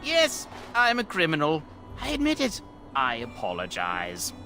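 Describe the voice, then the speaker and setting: Theatrical, wildly expressive male bandit. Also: a little high, a little slow, faint music behind, heavily acted. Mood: panicked pleading. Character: smug male bandit leader turning cowardly when beaten. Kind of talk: video game voice acting.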